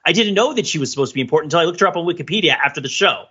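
A man in middle age hosts a casual podcast with a very bright, forward voice that has a husky edge. He tells stories in an animated, conversational way. The recording is slightly dull and lo-fi. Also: on the high side, rapid-fire. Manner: animated storyteller, acts out imagined dialogue, laughs while talking. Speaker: podcast host.

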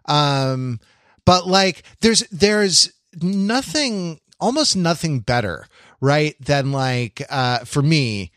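Halting man thinking aloud, higher-pitched voice. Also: lively intonation, a bit bright, dry recording, studio quality. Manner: halting, thinking aloud, hedging with "like" and "you know". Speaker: podcast co-host. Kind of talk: casual conversational podcast discussion.

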